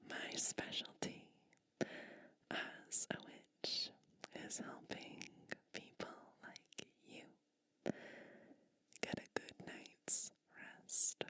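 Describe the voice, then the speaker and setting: Woman whispering asmr as a kind whimsical sleep witch, super breathy, bright and crisp edge to it, soothing with lots of pauses. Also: no room sound. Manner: soothing, slow, deliberate, pausing between phrases. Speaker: ASMR performer playing a bedtime witch. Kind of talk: whispered ASMR personal attention roleplay.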